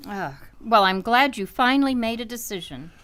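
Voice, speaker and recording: A woman's voice: a little high, expressive, moderate pace, a bit bright, clear, resonant tone. An actress playing an exasperated, sensible wife and mother in a 1940s radio sitcom, scolding and reproachful.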